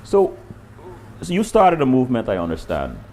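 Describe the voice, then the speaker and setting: Older male host with a lively voice. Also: mid-range voice, a little hiss or hum. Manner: repeats words, halting questions. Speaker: interview host. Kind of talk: interview.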